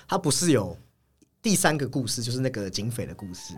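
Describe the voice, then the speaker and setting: Animated man discussing film over music. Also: medium pitch, slightly rough. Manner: analytical, repeats affirmations in quick strings. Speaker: podcast co-host. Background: background music.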